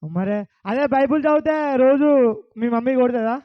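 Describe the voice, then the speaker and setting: A man's voice: very high-pitched, a little fast, dull audio. A man hosting a kids' bible puppet show, asking the children questions over and over.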